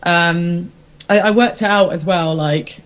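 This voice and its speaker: A woman's voice: fairly low pitch, unhurried, lo-fi sound, slight background noise. A woman speaking as a singer being interviewed, informal and reflective, feeling her way through candid answers. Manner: informal, hesitant, self-reflective.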